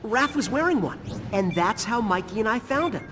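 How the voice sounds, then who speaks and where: An actor playing a brainy, logical young turtle inventor in a game, explaining things in a precise, nerdy way. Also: male voice, sits high, lively intonation, moderate pace, over music. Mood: eager. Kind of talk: animated video game cutscene acting.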